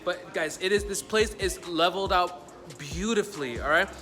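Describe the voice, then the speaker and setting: Upbeat bright-voiced man giving a tour. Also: high voice, moderate pace. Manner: energetic, chatty, keeps addressing viewers as guys. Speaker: travel vlogger. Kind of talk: walking tour vlog. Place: hostel, moving between rooms.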